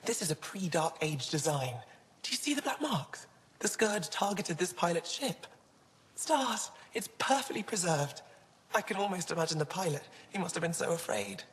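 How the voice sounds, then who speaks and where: An actress voicing an earnest alien antiquities scholar in a video game, full of wonder. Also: female voice, lowish voice, sing-song, normal speed. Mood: awed, grateful. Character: awed, grateful female alien antiquities scholar. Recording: long hall-like reverb.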